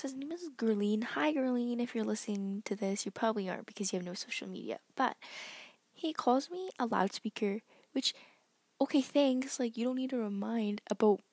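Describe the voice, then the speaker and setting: A woman's voice: a little high, a little mellow, dry recording. A teenage girl rambling about herself in a casual podcast at home, giggling and joking at her own expense. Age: teens. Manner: giggly, self-mocking, chatty. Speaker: podcast host. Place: home bedroom at night.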